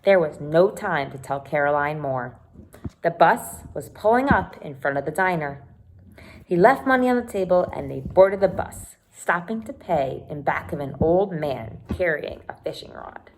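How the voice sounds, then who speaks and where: A woman's voice: sits low, expressive, moderate pace, slight background noise, poor audio. A woman reading a children's chapter book aloud like a teacher, expressively, with explanatory asides for young listeners. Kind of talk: read-aloud storytelling with short explanatory asides.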